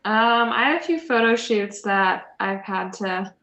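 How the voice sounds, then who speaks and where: A woman's voice: mid-range voice, a little slow, pushed and bright. A woman chatting casually and informally on a group call. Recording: roomy, echoing home call audio.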